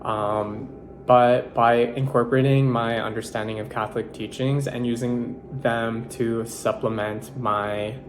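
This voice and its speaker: A man's voice: medium pitch, steady tone, background noise. Earnest young man reflecting aloud. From Philippines. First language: Tagalog. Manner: earnest, measured, with self-corrections and fillers. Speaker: nursing student. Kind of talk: reflective personal monologue.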